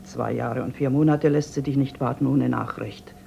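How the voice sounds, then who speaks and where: An actress playing the miller's tired wife, speaking quietly and firmly to calm others. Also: female voice, rumbling low, normal speed, vocal fry, dull audio, a little hiss or hum, exaggerated voice. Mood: weary, firm.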